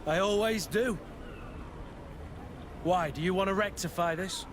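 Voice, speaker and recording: Loyal bodyguard type in a game, earnest and soft-hearted, noisy outdoor background. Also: male voice, high voice, measured pace. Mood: tender, wistful. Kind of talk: video game dialogue.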